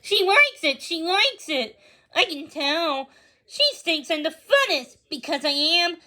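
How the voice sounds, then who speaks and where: A woman voicing an excitable, boastful young pug puppy in a storybook, breathless and gleeful, repeating exclamations. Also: very high-pitched, slow, pitched above the performer's own voice, full character voice.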